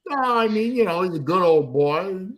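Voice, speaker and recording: A man's voice: high voice, animated, normal speed, lo-fi sound. A middle-aged man from Florida hosting a casual livestream chat, blunt and rambling, swearing freely. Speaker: livestream host.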